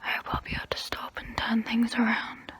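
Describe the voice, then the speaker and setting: A woman playing a guilty, melancholy centuries-old vampire in an ASMR role play, whispering in a slow, hesitant, wistful way with formal phrasing. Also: a little high, monotone, a bit bright. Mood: gentle, ominous. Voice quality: breathy whisper.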